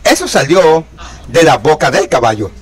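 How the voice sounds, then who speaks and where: A man's voice: fairly high pitch, very animated, normal speed, slightly rough. A man in his thirties preaching and commenting on news, emphatic, repeating key words and asking rhetorical questions, recorded indoors facing a camera. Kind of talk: religious commentary reading news. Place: indoor room with pale wall. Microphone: fixed camera, mid shot.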